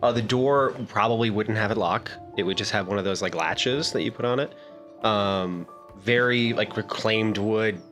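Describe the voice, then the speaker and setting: A man playing a tabletop game, rambling quickly as he describes a scene, with plenty of fillers. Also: lowish voice, fairly bright tone, soft background music. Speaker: player.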